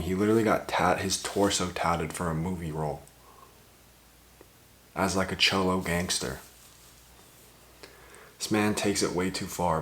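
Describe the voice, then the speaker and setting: A man with a deep voice gives casual commentary as a reaction host. His talk is loose and slangy. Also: a bit bright.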